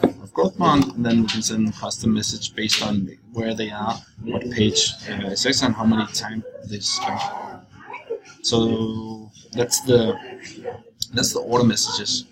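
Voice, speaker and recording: A young man giving an informal screencast walkthrough, explaining in a halting, loose way as he goes. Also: fairly low pitch. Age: young adult. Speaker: software walkthrough presenter. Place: indoors, at a computer. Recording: clearly noisy screencast recording.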